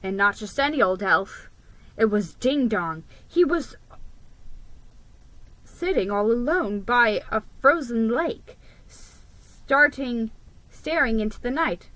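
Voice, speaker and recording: A woman giving a dramatic, expressive reading of a children's story, stumbling over the odd word. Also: a little high, slow pace, pauses often, no room sound, poor audio. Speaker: storybook reader.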